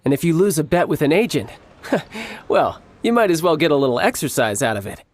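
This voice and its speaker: An actor playing a cocky, playful young warrior in a video game, smooth and teasing with theatrically formal speech. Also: male voice, a little high, sing-song, fairly quick. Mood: playful, easygoing. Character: cocky, playful young male warrior posing as toy salesman.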